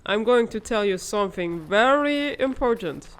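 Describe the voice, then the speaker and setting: A woman voicing a wry, level-headed older sister in a comic dub, calmly teasing and gently scolding. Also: on the high side, normal speed, clear, resonant tone, lightly acted. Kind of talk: animated comic-dub voice acting.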